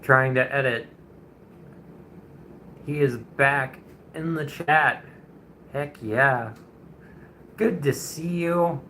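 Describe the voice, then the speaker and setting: A young man in his twenties talks casually to his livestream audience, with a bright, forward tone. He rambles a bit, greets his viewers, and chuckles often as he goes. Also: medium pitch, very slow, long pauses, slight background noise. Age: 20s. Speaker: streamer. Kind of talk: casual chat on a painting livestream.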